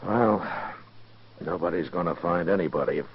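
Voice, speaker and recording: Frontier marshal: very low, rough-edged voice, plain-spoken and determined, vintage radio western sound. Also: male voice, moderate pace. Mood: steady, explaining. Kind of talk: 1950s radio western acting. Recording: dull lo-fi 1950s radio recording.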